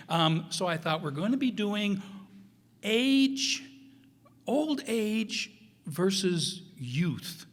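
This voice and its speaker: A middle-aged man talking informally to a live audience, rambling and self-deprecating as he previews things. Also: sits high. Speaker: director previewing upcoming shows. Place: live stage in a hall. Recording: reverberant hall.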